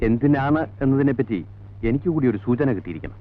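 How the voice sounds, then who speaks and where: A man's voice: mid-range voice, expressive, close to an everyday voice. Man playing a formal head monk, tinny film sound. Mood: firm, polite. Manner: formal, measured, officious. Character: formal, guarded male head monk of an ashram. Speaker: actor in a film. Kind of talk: 1980s film drama acting. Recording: tinny band-limited old film soundtrack.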